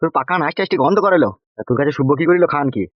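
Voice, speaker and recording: Man voicing a rapid-fire blustering cartoon politician. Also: on the high side, expressive, no room sound, dull audio, lightly acted. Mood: exasperated. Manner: blustering, crude, exclaiming in repeats. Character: bullying, lecherous husband and local politician. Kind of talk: comic cartoon dialogue.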